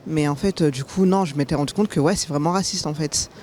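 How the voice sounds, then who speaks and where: A woman's voice: low voice, fast, darkish tone, a little hiss or hum. A young woman being interviewed on the street, speaking hesitantly with lots of fillers and casual slang.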